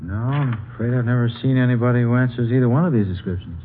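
A man plays a polite, precise shop clerk in vintage radio drama. He speaks quickly at a middling pitch. The sound is narrow and lo-fi with faint noise. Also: lightly acted. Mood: polite, certain. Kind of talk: 1950s radio drama acting.

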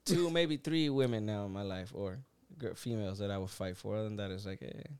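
A man from Puerto Rico chatting casually, telling stories in slang and acting out the dialogue in an animated way. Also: lowish voice, fairly quick, crowd noise. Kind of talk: casual chat, anecdotes.

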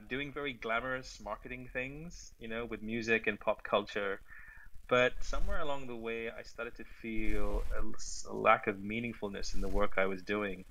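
A man's voice: fairly low pitch, sharp tone, rough recording. A middle-aged man from Sri Lanka answering as an interview guest, articulate and reflective as he tells his story. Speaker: guest, marketing consultant and author.

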